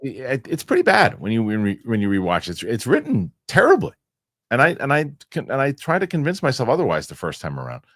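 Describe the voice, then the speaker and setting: A man hosting a casual podcast chat, animated and rambling, often restarting his sentences. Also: mid-range voice, brisk, no echo, studio quality. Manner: animated, rambling, self-correcting with repeated starts. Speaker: show host.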